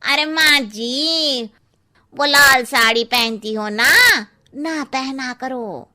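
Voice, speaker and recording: A woman playing a cheeky, blunt young housemaid in an animated Hindi comic story, with a drawling, exaggerated delivery. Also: high-pitched, drawn-out words, full character voice. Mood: coy, hesitant.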